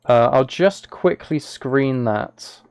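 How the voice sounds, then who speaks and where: A man's voice: medium pitch, expressive, slow pace, darkish tone, clean tone, no echo. Man chatting casually on a dry-sounding live stream. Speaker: live streamer, host reading chat. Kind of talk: casual live stream chat, reacting to videos.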